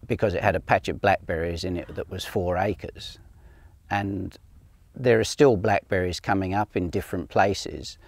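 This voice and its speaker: Low-voiced older Australian man, dry close sound. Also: no echo. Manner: plain, matter-of-fact, steady. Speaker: farmer. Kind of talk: outdoor farm walk interview. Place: outdoors on a farm paddock by a ute.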